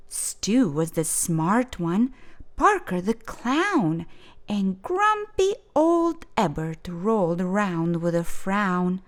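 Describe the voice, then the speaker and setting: A woman reading a rhyming children's picture book aloud for storytime, gentle and expressive. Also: medium pitch. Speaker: storytime reader.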